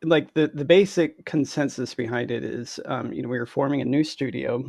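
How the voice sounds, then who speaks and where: A man in his thirties or forties, a game publisher, explaining things casually and haltingly as an interview guest. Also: medium pitch, vocal fry, darkish tone, dry recording, clean studio sound.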